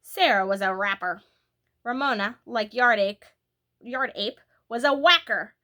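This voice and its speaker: Bright-voiced woman reading a children's story. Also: on the high side, measured pace. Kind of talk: expressive read-aloud narration of a children's story.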